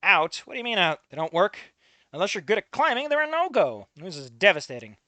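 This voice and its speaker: A man's voice: high-pitched, big pitch swings, fairly quick, pushed and bright, no room sound. A man reading fan fiction aloud, breaking off to swear and comment on it.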